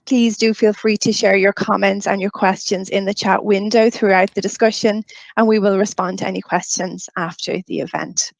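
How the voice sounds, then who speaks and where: A woman moderates a corporate panel, polite and formal. Her voice has a gravelly fry, and the sound is dry and close. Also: medium pitch, fairly even intonation, moderate pace, no room sound.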